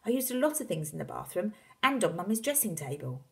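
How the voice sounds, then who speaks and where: A woman's voice: fairly low pitch, very animated, fast talker, creaky, a little mellow, voice pushed up. A woman voicing a polite, doubtful young boy in a storybook, tentative and questioning. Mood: hesitant, pleading.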